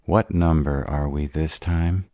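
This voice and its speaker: A man voicing a gruff, protective, short-tempered were-dragon in an audio roleplay, grumbling and sarcastic in a gravelly voice. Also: fairly even intonation, slow pace, warm tone, dry recording, studio quality. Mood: grumbling, weary. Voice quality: deep, gravelly.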